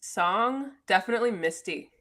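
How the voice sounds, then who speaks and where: A woman's voice: medium pitch, lively intonation, moderate pace, a bit bright. A woman guest on a podcast, a jazz singer who laughs often and talks casually.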